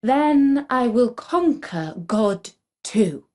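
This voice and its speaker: Woman reading as a greedy storybook prince, boastful and power-hungry, slow mellow voice. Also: a little high, expressive. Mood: haughty, greedy. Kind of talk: children's storybook character reading.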